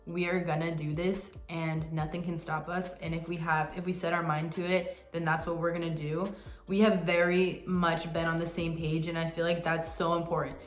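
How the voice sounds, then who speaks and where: A young woman talking casually and earnestly to her viewers, sometimes in quick bursts. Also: lowish voice, echoey room. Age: young adult. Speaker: vlogger. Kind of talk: casual chat to camera.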